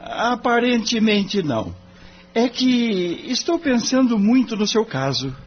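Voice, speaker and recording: A man's voice: high voice, big pitch swings, slow, full character voice. A man playing an earnest, courteous young lawyer in an audio drama, polite and thoughtful. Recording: dull recording with faint hum.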